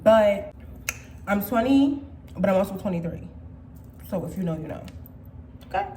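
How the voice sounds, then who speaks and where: A woman's voice: mid-range voice, expressive, normal speed, dark tone, a little hiss or hum. Playful young woman in an echoey room. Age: 20s. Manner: playful, swears freely, talks straight to viewers. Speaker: vlogger. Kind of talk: casual chat.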